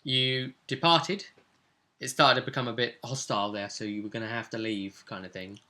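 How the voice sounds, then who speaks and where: A man with a bright, forward voice at a middle pitch recaps a tabletop session as game master. His delivery is conversational and a little hesitant as he pieces the story together. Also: fairly even intonation, normal speed.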